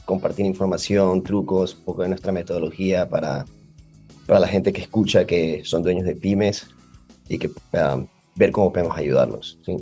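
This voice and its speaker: A man's voice: low-pitched, normal speed, mellow, husky, faint music behind. A man with an Ecuadorian Spanish accent, a podcast guest who explains at length with many fillers. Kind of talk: podcast interview.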